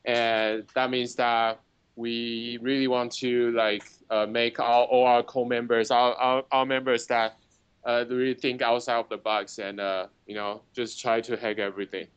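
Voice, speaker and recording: A man, a startup founder answering interview questions in a halting, self-mocking way. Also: medium pitch, little pitch movement, clean tone, dry recording, lo-fi sound. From Taiwan. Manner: halting, searching for words, self-mocking humor.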